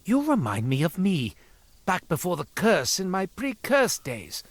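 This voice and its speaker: An exasperated, wildly animated shopkeeper. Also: male voice, high-pitched, measured pace, over music, heavily acted. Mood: wistful boasting. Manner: exasperated, rambling, sarcastic, theatrical. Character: beleaguered, sardonic, cursed former thief turned quartermaster shopkeeper. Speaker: video game shopkeeper character voice. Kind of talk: theatrical scripted character dialogue.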